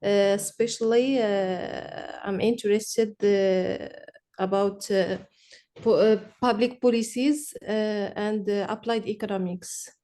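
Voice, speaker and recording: A woman's voice: medium pitch, steady tone, slow, warm tone. A woman from Morocco, introducing herself hesitantly with many fillers on a video call.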